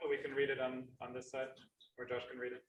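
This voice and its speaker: A man's voice: medium pitch, completely flat delivery, quick pace. Facilitator man in an echoey room, far from the mic. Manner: casual, coordinating. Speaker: local facilitator. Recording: roomy and distant with faint noise.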